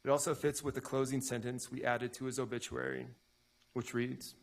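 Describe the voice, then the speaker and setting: Grieving brother, played by a man, staged reading; gravelly, even-pitched, solemn. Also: moderate pace, close to an everyday voice. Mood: solemn, grateful. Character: grieving brother reading a family impact statement in court. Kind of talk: staged reading of a prepared statement.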